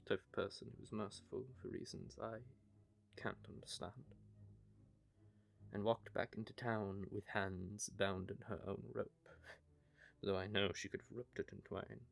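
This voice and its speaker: A man playing a young knave who tells his story in a wry, rambling way, with dark humour. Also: lowish voice, flat delivery, normal speed, vocal fry. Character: young male knave, wry, haunted by grief. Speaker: confessing rogue. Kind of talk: hobby audio drama monologue.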